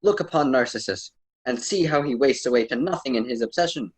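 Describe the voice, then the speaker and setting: A man's voice: mid-range voice, fairly quick, husky, close to an everyday voice, low-quality recording. A man playing a patient ancient Roman poet and mentor in a radio drama, speaking in formal, old-fashioned phrases. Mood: grave, instructive. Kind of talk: theatrical radio drama dialogue.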